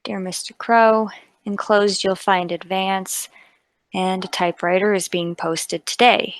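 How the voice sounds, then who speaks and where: A woman plays a police inspector in a radio mystery. Her medium-pitched voice is businesslike and matter-of-fact, heard close in a dry recording. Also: fairly even intonation, measured pace, no echo, close to an everyday voice. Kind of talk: radio play table read.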